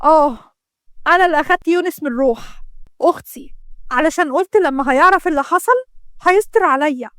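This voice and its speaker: A woman's voice: high-pitched, fast talker, a bit bright, no echo, studio quality. A woman voicing a desperate, defiant young woman, agitated and bitter, with an Egyptian accent. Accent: Egyptian Arabic.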